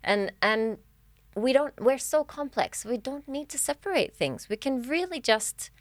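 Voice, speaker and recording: A woman's voice: high voice, lively intonation, unhurried, no room sound. Earnest encouraging woman coach, dry sound. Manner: earnest, encouraging, restarts often. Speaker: life coach guest. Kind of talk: casual podcast chat.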